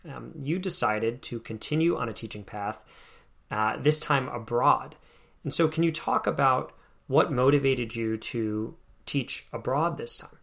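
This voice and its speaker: Gravelly-voiced male podcast host. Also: mid-range voice, fairly bright tone. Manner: measured, reflective questioning. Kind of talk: interview questions.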